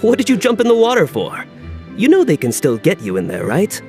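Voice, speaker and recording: A man's voice: high voice, wildly swooping pitch, a little fast, darkish tone, faint music behind. An actor playing an easygoing, wry male caravan guard in a video game. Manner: wry, sociable.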